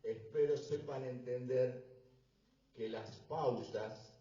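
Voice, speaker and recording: A man preaching a sermon in short phrases, pausing for his interpreter and rising to fervent emphasis. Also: mid-range voice, steady tone, mellow. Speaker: visiting preacher. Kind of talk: sermon delivered through an interpreter. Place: church hall. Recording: roomy, distant, dull church recording.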